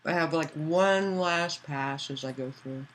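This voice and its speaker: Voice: medium pitch, unhurried, fairly bright tone, rough recording. An author livestreaming to patrons, chatting loosely and answering chat messages aloud, with many fillers and restarts.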